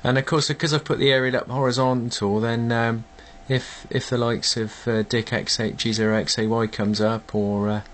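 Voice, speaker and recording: A man chatting casually as an amateur radio operator, demonstrating a contact in a hesitant, measured way. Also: medium pitch, level tone, a bit bright, a little hiss or hum.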